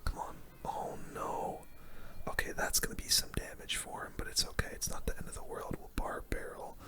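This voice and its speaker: Whispering young man, ASMR gamer. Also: moderate pace. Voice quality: breathy, unvoiced whisper. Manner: casual, slangy, reacting to play. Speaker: gaming video host. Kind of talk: whispered ASMR game commentary.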